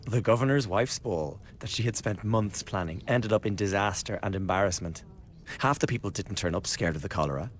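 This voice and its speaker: An actor playing a glib, scheming young revolutionary in a radio drama, chatty and insinuating with a blackly flippant manner. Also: male voice, fairly low pitch, a little fast, slight background noise, lightly acted. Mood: mocking, animated. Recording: studio radio drama with background ambience.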